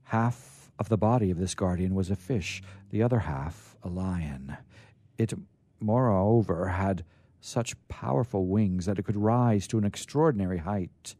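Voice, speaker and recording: A man's voice: low voice, a little slow, warm tone, dry recording. A man hosting a radio show, chatting casually and reading old stories aloud.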